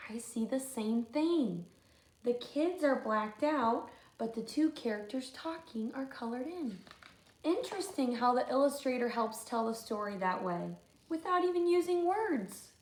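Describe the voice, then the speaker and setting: Female teacher for storybook read-aloud: high, lively intonation, chatty asides, recorded in a roomy space. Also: measured pace. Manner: expressive, chatty commentary between lines.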